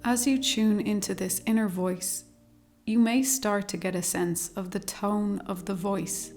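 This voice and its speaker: A woman leading a guided meditation, speaking slowly and calmly in a soothing, measured way with long pauses. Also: medium pitch. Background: meditation music with singing bowls.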